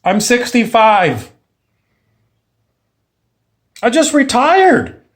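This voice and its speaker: A man's voice: extremely high pitch, huge pitch swings, slow pace, voice pushed up, exaggerated voice. A man acting out a defiant sixty-five-year-old retiree who is insistent and dismissive, in an informal monologue.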